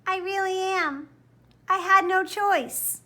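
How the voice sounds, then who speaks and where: A woman's voice: extremely high pitch, fairly even intonation, takes it slowly, pushed and bright, voice pushed up, full character voice. A woman voicing a small, sorrowful, apologetic male storybook creature with a little, mournful voice. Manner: mournful, mumbling.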